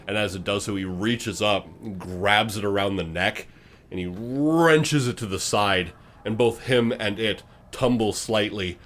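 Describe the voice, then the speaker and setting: Man, lowish voice, game master, dramatic tabletop narration, deliberate phrasing, noisy ambient background. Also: a bit bright. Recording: clear background noise and ambience.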